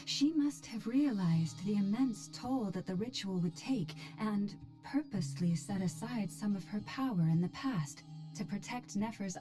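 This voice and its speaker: An actress plays a serene, gracious female healer in a fantasy game. Her voice is mid-range and her speech formal and thoughtful. Music sits clearly behind it. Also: mid-range voice, lightly acted.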